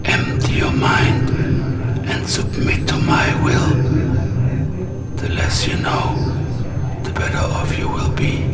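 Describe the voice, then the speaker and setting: A sinister mind-controlling dictator, commands hypnotically in a breathy game broadcast. He speaks very slowly with dramatic swings in pitch, echoing over loud music. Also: far from the mic. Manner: slow, breathy, commanding, menacing. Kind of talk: propaganda broadcast in game cutscene.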